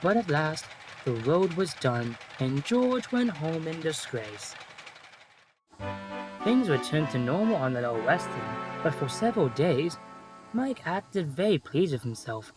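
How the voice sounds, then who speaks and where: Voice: mid-range voice, very animated, a little slow, music in the background. A narrator reading a scripted children's story in storybook style.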